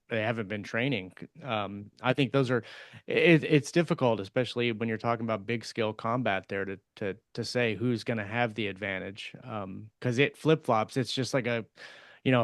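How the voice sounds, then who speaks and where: Man, podcast co-host, casual discussion, medium pitch, dry recording. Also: fairly bright tone, no room sound.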